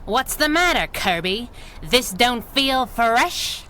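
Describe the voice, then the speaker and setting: An actress playing a tough, wisecracking young masked heroine in a 1930s-style radio drama. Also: female voice, a little high, sing-song, slow pace. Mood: brash, scolding. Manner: wisecracking, needling, slangy. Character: tough, sassy young masked female crimefighter.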